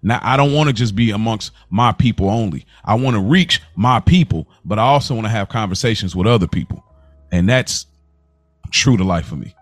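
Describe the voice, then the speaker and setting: Man, podcast co-host, casual chat, earnest, low voice, soft music behind. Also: lively intonation, a little fast, dry recording. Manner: earnest, repeats himself.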